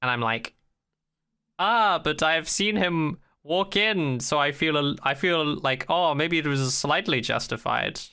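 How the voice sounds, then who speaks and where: A man co-hosts a casual podcast about television in a clear, bright voice at a middling pitch. He is opinionated and critical, dryly sarcastic at times, and swears freely as he talks. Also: lively intonation, a little slow. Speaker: podcast co-host. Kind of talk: casual opinionated discussion of a TV show.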